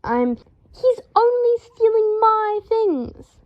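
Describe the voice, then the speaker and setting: A woman's voice: very high-pitched, sing-song, drawn-out words, dull audio, pitched above the performer's own voice, exaggerated voice. A woman reading aloud, voicing a fussy, haughty young wizard man who shrieks in indignant fury. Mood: furious.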